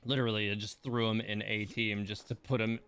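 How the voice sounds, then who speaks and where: A man's voice: fairly low pitch, little pitch movement, fairly quick, fairly bright tone, clean tone. A man giving casual livestream game commentary, rambling and muttering to himself as he plays.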